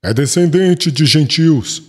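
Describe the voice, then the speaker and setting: A man's voice: a little high, expressive, slow pace, slightly rough, full character voice. A man playing a proud, defiant missionary apostle in a religious audiobook, speaking emphatically with long reverb around the voice. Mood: curt annoyance. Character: proud, defiant male missionary apostle championing gentile converts. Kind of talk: dramatized religious audiobook dialogue.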